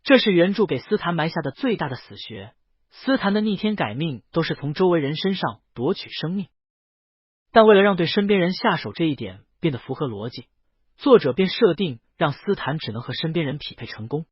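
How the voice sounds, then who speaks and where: A man narrates a story in a fairly high, lively and widely varied voice. The delivery is scripted and dramatic, with a husky edge. The recording is dry and a little dull. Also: brisk, no echo. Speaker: audiobook narrator.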